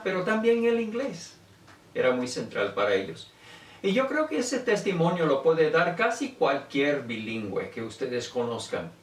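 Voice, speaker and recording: An older man from Venezuela giving an informal autobiographical talk in a reflective, conversational manner. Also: a little high. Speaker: speaker telling his family language history. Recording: echoey room, distant microphone.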